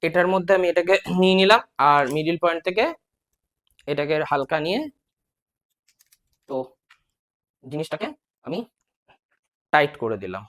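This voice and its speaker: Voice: medium pitch, lively intonation, normal speed, long pauses, fairly bright tone. A casual vlogger presents a gear setup in an informal, hands-on way. The delivery is instructional and addressed straight to the viewer, going one step at a time. English words and phrases are mixed into the talk. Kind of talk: casual product walkthrough, explaining step by step.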